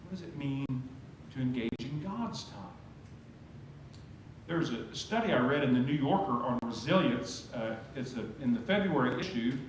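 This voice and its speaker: Oklahoma preacher guy, high animated voice, telling stories in a super echoey church. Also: normal speed. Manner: conversational, storytelling preacher with lively rises. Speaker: pastor. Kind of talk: sermon. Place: church sanctuary. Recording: very echoey church sanctuary, faint hum.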